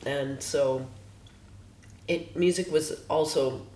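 A woman's voice: low voice, fairly even intonation, slow pace, dark tone, a little hiss or hum. Woman in an echoey room. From Los Angeles, USA. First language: Hungarian. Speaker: guest musician. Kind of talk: casual interview chat.

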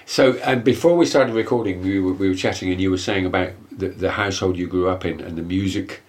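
A man hosting a relaxed interview. His voice is very deep, and he often pauses and restarts as he feels his way toward a question. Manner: hesitant, with fillers and restarts. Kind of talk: casual interview conversation.

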